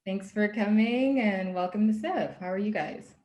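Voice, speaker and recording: A festival programmer moderating a recorded Q&A, asking friendly, curious questions. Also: female voice, medium pitch, normal speed, echoey room, lo-fi sound. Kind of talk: hosting a recorded Q&A.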